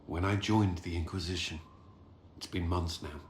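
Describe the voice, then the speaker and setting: A dutiful knight commander acted by a man in a fantasy game, grave and formal. His deep voice moves haltingly in an echoey room with faint noise. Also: steady tone, natural voice. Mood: solemn confession. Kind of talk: dramatic fantasy game voice acting.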